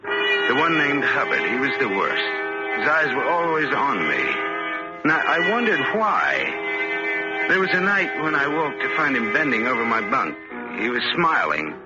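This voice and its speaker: Guilt-haunted young male stowaway, meek and halting, expressive swings, muffled vintage radio sound with loud music. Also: mid-range voice. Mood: haunted, confiding. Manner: hesitant, halting, confessional. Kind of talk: 1940s radio drama acting, first-person narration and dialogue.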